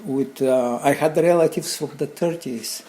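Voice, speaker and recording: An older man from Bulgaria with a Bulgarian accent, telling stories casually in a halting, rambling but animated way. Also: medium pitch. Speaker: interview guest, craftsman and designer. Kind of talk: casual conversational storytelling.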